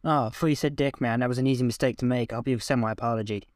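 A man playing an excitable, suspicious drug-loving criminal in an improvised comedy sketch, talking in slangy phrases. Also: mid-range voice, very fast, no room sound, studio quality, close to an everyday voice. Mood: cocky, excitable.

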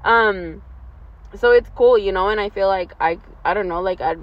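A woman's voice: medium pitch, normal speed. Dull lo-fi recording, young woman chatting casually. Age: 20s, twenty-three. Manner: giggly, rambling, informal. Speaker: vlog host. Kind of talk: casual chat with a friend, slangy. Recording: dull lo-fi, outdoor traffic noise.

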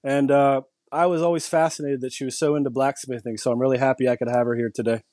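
A man's voice: medium pitch, flat delivery, fast, a little mellow, no echo. Enthusiastic man in a dry room. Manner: enthusiastic storyteller. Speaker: podcast co-host. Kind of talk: casual chat.

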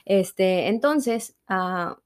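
A woman from Mexico with a Mexican accent, a guest in a conversational interview, telling her story in a reflective and animated way. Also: mid-range voice, extremely slow, clear, resonant tone. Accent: Mexican Spanish.

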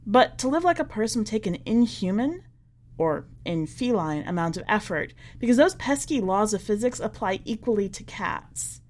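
Measured woman reading a story aloud. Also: mid-range voice, big pitch swings, darkish tone. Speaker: podcast editor reading a short story aloud. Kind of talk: scripted narration of literary fiction.

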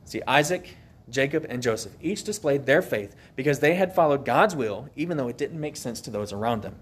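Husky, lively male preacher. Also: medium pitch, fairly quick. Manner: earnest, emphatic, asks the listeners questions. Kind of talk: sermon.

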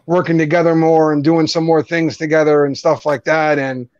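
A man's voice: on the high side, level tone, a little mellow, no room sound, dull audio. A man with a New Jersey accent, chatting casually and joking as a co-host of a group video stream. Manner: joking, laughs often, quick back-and-forth.

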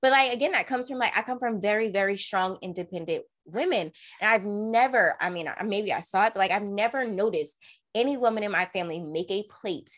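A young adult woman hosting a casual chat, talking bluntly and acting out quoted remarks in an expressive voice. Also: mid-range voice, brisk. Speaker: podcast host.

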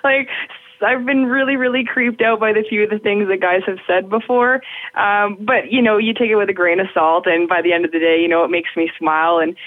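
A young woman in radio with a deeper voice, chatting casually as an interview guest and laughing often. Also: fairly even intonation, fairly quick, dry recording. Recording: tinny phone line.

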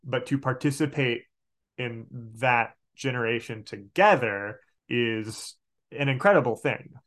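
Halting, casual young man, mid-pitched. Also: a bit bright. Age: late 20s. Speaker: podcast guest, game master. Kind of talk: casual chat.

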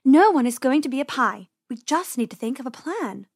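A woman's voice: fairly high pitch, lively intonation, normal speed, creaky, slightly rough, heavily acted. A woman voicing a bossy, brave little girl rabbit in a children's storybook, urgent and take-charge. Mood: bossy, determined.

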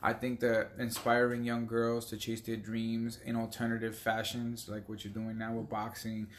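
Male podcast host, mid-pitched, upbeat and chatty. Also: monotone, a little hiss or hum. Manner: upbeat, gives shout-outs, slows for emphasis. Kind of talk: casual podcast chat.

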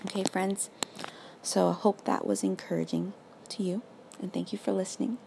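A raspy young woman hosting a casual faith podcast, earnest and encouraging, full of "like" and "literally". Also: mid-range voice, fairly even intonation, normal speed, background noise. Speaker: podcast host.

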